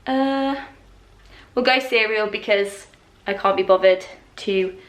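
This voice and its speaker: A young woman in her early twenties talks casually to her viewers from home. Her voice is a little croaky as it recovers, and she rambles on in a chatty, self-mocking way at an ordinary middle pitch. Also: slow pace. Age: around 20. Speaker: vlogger. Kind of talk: casual chat to camera.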